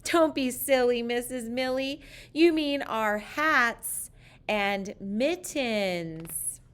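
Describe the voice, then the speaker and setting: Playful storybook schoolchildren, voiced by a woman, loud and insistent, high pitch, slow drawn-out emphasis on corrections. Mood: playful, emphatic. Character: giggling young pupils correcting their silly teacher in chorus.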